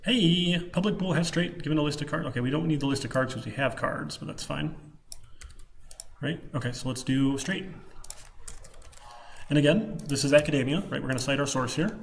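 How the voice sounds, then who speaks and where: A man's voice: a little high, brisk, gravelly, long pauses. A man with a Michigan Midwestern accent giving an informal live coding lecture, rambling casually and thinking aloud. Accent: Michigan Midwestern American. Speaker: programming lecturer. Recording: clicking keyboard, faint room noise. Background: keyboard typing.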